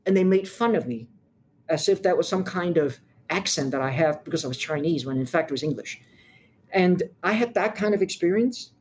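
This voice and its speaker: An older woman from Hong Kong, a lawyer, answering interview questions in a measured, frank way with emphatic points. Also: fairly low pitch, darkish tone.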